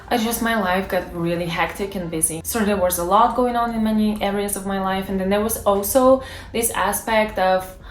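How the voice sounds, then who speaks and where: Chatty woman, lowish voice, echoey room. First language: Bulgarian. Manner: chatty, thinking aloud with many fillers and restarts. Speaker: language-learning YouTuber. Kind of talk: casual talk to camera.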